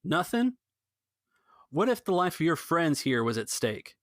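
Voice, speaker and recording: A man voicing a menacing private eye villain who shouts theatrically. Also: fairly high pitch, very animated, unhurried, dry recording, studio quality, pitched above the performer's own voice. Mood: threatening.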